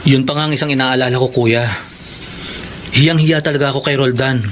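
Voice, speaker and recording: A man's voice: mid-range voice, steady tone, brisk, a little hiss or hum, natural voice. An actor playing a humble, sheepish village fisherman and younger brother in a Filipino radio drama. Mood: ashamed, hesitant. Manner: hesitant, deferential.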